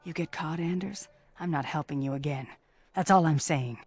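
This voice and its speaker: A woman playing a brusque, streetwise, fed-up informant in a video game, blunt and gruff. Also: low voice, moderate pace, a little mellow. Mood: irritated, brusque.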